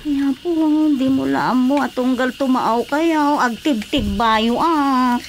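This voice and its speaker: A woman's voice: high-pitched, takes it slowly, slight background noise. A woman acting an anxious, nagging fisherman's wife in an Ilocano-accented radio drama comedy, pleading and scolding. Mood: concerned. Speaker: voice actor.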